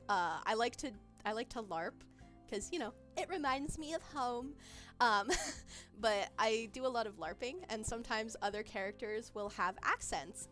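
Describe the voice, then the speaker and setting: A woman's voice: mid-range voice, fairly bright tone. A young woman streamer chatting casually with her chat in a giggly, rambling way, full of fillers. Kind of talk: casual livestream chat, reading chat. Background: faint background music.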